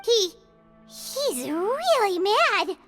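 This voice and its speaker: A woman voicing a tiny, childlike, excitable fairy companion with a squeaky voice in a video game. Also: extremely slow, big-room sound, faint music behind, heavily acted. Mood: worried. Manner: childlike, squeaky, speaks of herself by name. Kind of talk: cartoonish video game voice acting.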